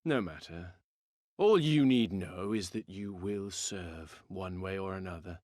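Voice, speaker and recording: Arrogant sun tribe ruler in a game, low voice, slow n pompous, old-timey wording. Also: male voice, a little mellow, no room sound, studio quality. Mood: dismissive. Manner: pompous, archaic formal speech. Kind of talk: theatrical video game cutscene acting.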